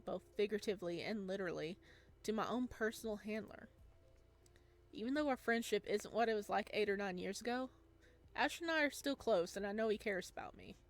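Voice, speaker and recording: Female let's-play narrator, mid-range voice, expressive read-aloud delivery that slips into casual commentary. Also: mid-range voice. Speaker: let's-play host reading a visual novel aloud.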